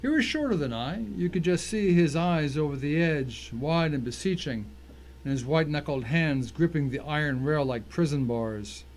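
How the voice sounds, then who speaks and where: Man acting as higher-voiced thriller narrator. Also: animated, moderate pace, a little mellow, slight background noise, natural voice, low-quality recording. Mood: tense, grim. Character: tense, vengeful male narrator of crime thriller. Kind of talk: dramatic first-person story narration.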